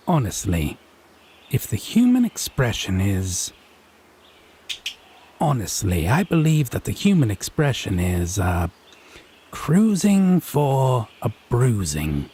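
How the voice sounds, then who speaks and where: An actor playing a haughty, teasing male Fae in an audio roleplay, speaking in an arch, pompous, theatrically drawn-out way. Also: on the low side, mellow, slightly rough, heavily acted. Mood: mock offended, teasing. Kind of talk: scripted audio roleplay drama.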